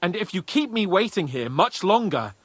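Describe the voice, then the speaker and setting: An actor playing a proud, hot-headed young nobleman in a medieval video game, haughty and expressive. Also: high voice, moderate pace, no room sound. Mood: haughty, defiant. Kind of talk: video game cutscene acting.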